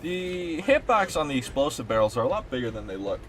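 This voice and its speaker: A man's voice: mid-range voice, sing-song, a little fast, fairly bright tone, slight background noise. Rambling, sweary young streamer guy. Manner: rambling and sweary, lots of fillers. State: stuffed up. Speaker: gaming streamer. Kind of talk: casual chat while playing a game.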